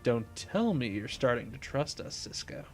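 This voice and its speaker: Story villain: male reader as smug crook, unhurried drawl, controlled and calculating. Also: mid-range voice, lively intonation, soft background music, lightly acted. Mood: dry, smug.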